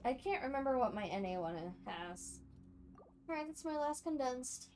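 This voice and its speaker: A woman's voice: high voice, lively intonation, moderate pace, darkish tone, a little hiss or hum. A woman livestreamer giving casual, chatty gameplay commentary to her viewers, speaking off the cuff. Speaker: game livestreamer.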